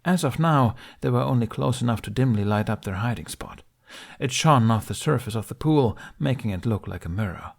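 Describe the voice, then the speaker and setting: A man reading a fan fiction aloud as an audiobook, in soft, measured storytelling. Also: mid-range voice, expressive, gravelly. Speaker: podfic reader.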